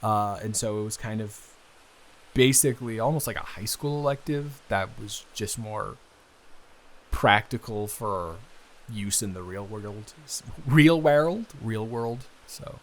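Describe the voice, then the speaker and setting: A man's voice: lowish voice, unhurried, slight background noise. Man playing an awkward, stammering, smitten young guy. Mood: awkward, chatty. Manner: hesitant, stammering, rambling, apologetic. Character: awkward, earnest, smitten young man, best friend's brother. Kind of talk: improvised intimate roleplay audio.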